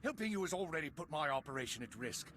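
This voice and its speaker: An actor voicing a haughty, imperious male captain in a video game, forceful, demanding and quick to outrage. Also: on the high side, very animated, background noise. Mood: outraged, commanding.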